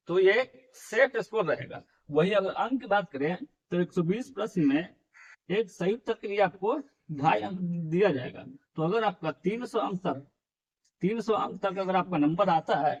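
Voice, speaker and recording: A man's voice: high voice, low-quality recording. A young man running an exam coaching channel, explaining scores to viewers in a chatty lesson style and repeating each key number. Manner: repeats key figures, addresses viewers as friends. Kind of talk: explanatory exam-prep video.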